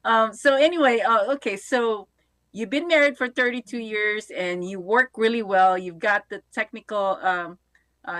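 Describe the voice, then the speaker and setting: A woman hosting an interview show, asking curious, halting questions with many fillers. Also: medium pitch, fairly bright tone, no echo. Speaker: show host.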